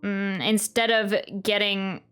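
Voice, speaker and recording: A woman's voice: mid-range voice, level tone, takes it slowly, a bit bright, smooth. A woman giving casual reactions and commentary as she plays through a game on stream. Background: game music.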